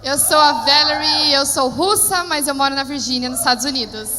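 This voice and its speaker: A woman interpreting live on stage, lively and quick. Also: high voice. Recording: reverberant hall, faint ambience.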